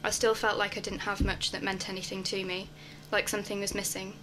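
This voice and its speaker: A woman's voice: mid-range voice, level tone, fairly quick, natural voice. A young woman's mid-range voice an actress in role delivers a scripted, personal radio-play monologue. There is faint noise behind it. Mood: wistful. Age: young adult. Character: wistful young woman longing for home.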